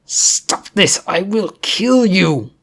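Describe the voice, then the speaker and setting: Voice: mid-range voice, expressive, drawn-out words, gravelly, a bit bright, pitched above the performer's own voice, heavily acted. A storyteller voicing an arrogant, snarling male superhero villain who talks with threatening contempt. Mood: furious.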